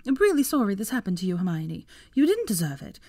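A woman's voice: medium pitch, sing-song, fast, warm tone, dry recording, clean studio sound, voice pushed up. A woman voicing a loyal, consoling female friend in a story, speaking earnestly.

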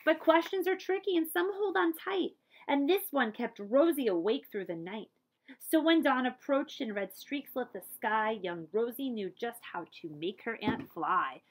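Middle aged lady doing an expressive kids storytime read aloud, really high voice. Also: low-quality recording. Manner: animated, deliberate storytelling for children. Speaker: librarian reading aloud. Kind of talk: expressive children's picture-book read-aloud. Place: small room with bookshelves, indoors.

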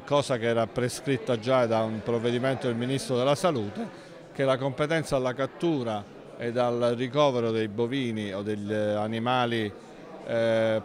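A man gives formal interview answers as a mayor, in measured institutional phrasing. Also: mid-range voice. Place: large indoor council hall. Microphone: handheld interview microphone.

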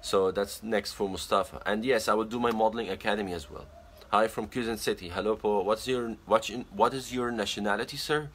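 A man's voice: lowish voice, fairly even intonation, fairly quick, fairly bright tone, slight background noise. A young man from Egypt casually chatting on a phone livestream from his bedroom, reading out and greeting viewers by name. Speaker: livestream host. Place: small bedroom indoors. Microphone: phone camera at arm's length.

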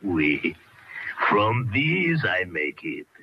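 An actor playing a gloating, deranged old Frenchman with a French accent in a radio horror play, drawn-out and theatrical. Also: low voice, big pitch swings, heavily acted. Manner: theatrical, drawn-out, chuckling, coaxing with "eh?". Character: mad, gloating old Frenchman who cleans bones. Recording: dull, noisy vintage radio recording.

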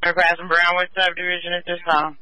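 A man's voice: sits high, completely flat delivery, fast, sharp tone, no room sound, rough recording. A male police dispatcher relaying pursuit updates in short radio calls.

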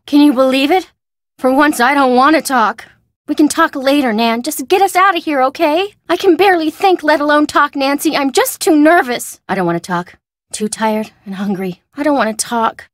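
A young woman in a video game , played by an actress, with a high voice recorded dry and close. She is chatty and excitable, talking informally like a best friend who babbles. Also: normal speed, no echo, close to an everyday voice. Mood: shaken, weary.

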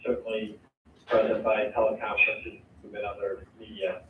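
A man chairing a school board meeting, halting and full of fillers as he sums up motions. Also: lowish voice, level tone, heavy background noise. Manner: halting, many fillers and restarts. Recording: echoey, distant, tinny meeting audio.

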